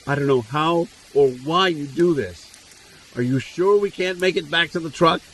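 An actor playing a nervous, frightened camper in an audio drama, jittery and prone to stammering. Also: male voice, a little high, moderate pace, fairly bright tone, slight background noise, natural voice, low-quality recording. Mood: shaken. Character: nervous, frightened male camper, novice hunter's friend.

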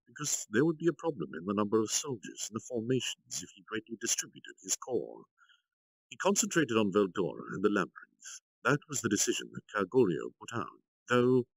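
A man reads a story aloud with theatrical, deliberate phrasing. His low voice has plenty of creak and moves through a very wide pitch range. It is captured close and dry. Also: wildly swooping pitch, no room sound, rough recording. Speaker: streamer, story reader. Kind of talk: dramatic narration of translated light novel.

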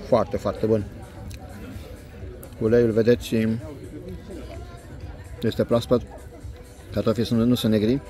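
A man doing a casual food review vlog in short, unhurried, appreciative phrases, recorded outdoors on a handheld phone. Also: fairly low pitch, level tone, mellow, faint music behind, some chatter behind. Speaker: food vlogger. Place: outdoor restaurant terrace by a lake.

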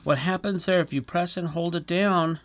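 A man's voice: high-pitched, steady tone, normal speed, heavy background noise. A middle-aged man, chatty and explanatory, hosting a casual product demonstration in a small indoor studio. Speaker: product review host.

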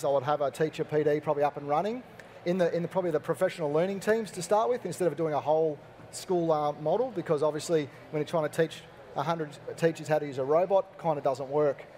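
A man speaking as a school IT manager on a conference panel, brisk and enthusiastic in a practical way. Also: fairly high pitch, a little mellow, a little hiss or hum. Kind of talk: panel discussion answer.

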